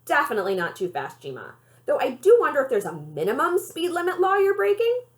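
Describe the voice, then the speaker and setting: A woman's voice: high-pitched, huge pitch swings, brisk, roomy sound, voice pushed up. A woman voicing a polite, wry schoolboy grandson in an audiobook story, a bit hesitant and dryly joking. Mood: teasing.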